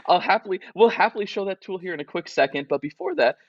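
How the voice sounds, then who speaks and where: A man pitches his company's product with a lively, rising-and-falling voice, upbeat and quick to repeat words. His audio comes through dull and very dry, with no room sound, as if on a remote link. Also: vocal fry, no echo. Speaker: tech company guest.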